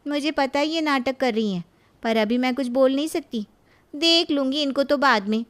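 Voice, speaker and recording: A woman's voice: high voice, moderate pace, lightly acted. A woman voicing an assertive, indignant younger daughter-in-law in a moral cartoon story. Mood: resentful. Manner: assertive, accusing. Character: sharp, indignant younger daughter-in-law who fights back.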